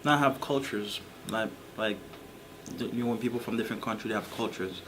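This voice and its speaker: Low-voiced teen boy over background noise. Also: fairly quick. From Haiti. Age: teens. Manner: brief, loose, casual phrasing. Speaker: interviewee. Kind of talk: short interview answers.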